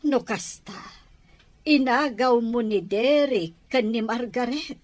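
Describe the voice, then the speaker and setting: A woman's voice: a little high, slow pace. A woman acting a dismayed, reproachful mother in an Ilocano radio drama. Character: dismayed, reproachful mother scolding her daughter.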